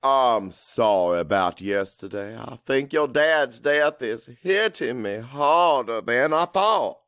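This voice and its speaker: A man's voice: mid-range voice, lively intonation, takes it slowly, a bit bright, smooth, no echo, studio quality. A man voicing a brooding, resentful husband in an audiobook drama, with a strained, self-justifying manner. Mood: pleading, resentful. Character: brooding, resentful husband grieving his father.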